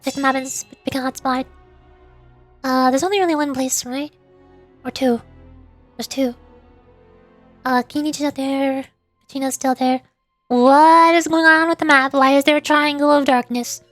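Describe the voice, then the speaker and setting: A woman streams a video game as a vtuber. Her voice is high and she talks in a playful, expressive way. Music plays faintly behind her. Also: normal speed, pauses often. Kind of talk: game livestream commentary, reading game text aloud.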